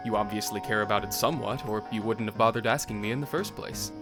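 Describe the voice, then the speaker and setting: A man's voice: fairly low pitch, quick pace, music in the background, close to an everyday voice. A man playing a young crown prince in an audio drama, wry and bantering, playful and ambitious. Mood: curious. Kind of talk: audio drama character acting.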